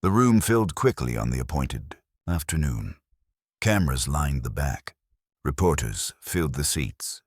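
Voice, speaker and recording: A man reading a scripted dramatic story as narrator, in a measured, solemn manner. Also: a bass voice, big pitch swings, darkish tone, slightly rough, dry recording, clean studio sound.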